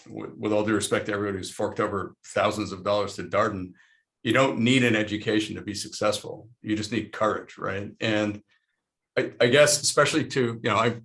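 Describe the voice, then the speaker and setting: A middle-aged man from Lebanon answers panel questions in a loose, rambling, frank way. His voice sits low with a gravelly edge. He often restarts his sentences as he thinks out loud. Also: steady tone, a little fast. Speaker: panel guest, investor. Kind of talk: casual panel conversation.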